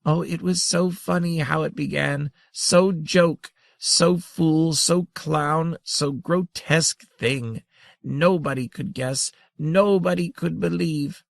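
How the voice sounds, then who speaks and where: Guy doing a tinkly giggly little old grandmother bug, high and teasing, dry sound. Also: slow pace, gravelly, no echo. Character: tiny ancient giggling female creature elder, playful.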